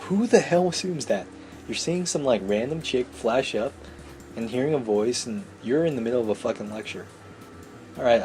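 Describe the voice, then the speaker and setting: Mellow-voiced man narrating a game playthrough. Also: medium pitch, slight background noise. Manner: irreverent, sweary. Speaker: let's play host. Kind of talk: reading visual novel aloud with casual commentary.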